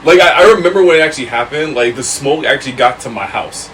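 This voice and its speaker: Man, late twenties, animated voice, playful banter, distant mic, faint room hum. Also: mid-range voice, fast. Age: 20s. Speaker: podcast co-host. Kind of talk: casual chat.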